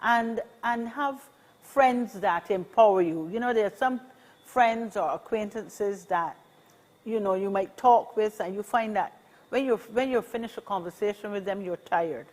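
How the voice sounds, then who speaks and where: A woman's voice: medium pitch, a little slow, darkish tone. Barbadian woman in her sixties, encouraging interview guest, upbeat and repetitive. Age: 60s. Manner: upbeat, encouraging, repeats key phrases. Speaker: senior advocacy organization representative. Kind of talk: casual interview conversation.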